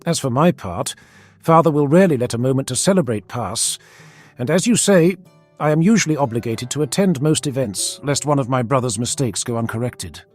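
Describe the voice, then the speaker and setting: A man voicing a poised, coy noblewoman who teases in formal, measured fantasy dialogue. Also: mid-range voice, animated, soft background music, pitched above the performer's own voice, close to an everyday voice. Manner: formal, measured, gently teasing. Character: poised, coy noblewoman teasing her brother. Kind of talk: fantasy audiobook character dialogue.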